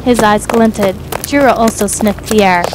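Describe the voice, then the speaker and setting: A woman's voice: mid-range voice, a little slow, background noise. A woman reading scripted narration for a dramatic fan fiction audio story. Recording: music behind the voice. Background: music.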